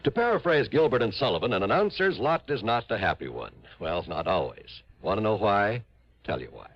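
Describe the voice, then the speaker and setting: A man's voice: fairly low pitch, very animated, fairly quick. A man doing scripted old-time radio announcing in a droll, theatrical, mock-pleading manner. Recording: dull, dry vintage radio recording.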